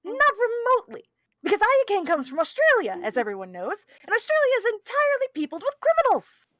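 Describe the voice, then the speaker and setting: A man's voice: very high voice, huge pitch swings, quick pace, bright, forceful tone, no echo, studio quality, full character voice. A man playing a conceited, scheming criminal mastermind in a theatrical table read, pompous, wordy and argumentative. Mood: excited, pompous.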